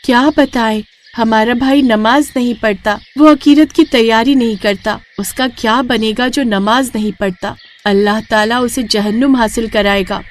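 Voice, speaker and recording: A woman's voice: on the high side, fairly even intonation, a little slow, hardly any pauses, natural voice. A woman voicing a pious, anxious eight-year-old sister in a children's cartoon story, with a pleading manner. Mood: sad. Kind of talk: children's animated moral story acting.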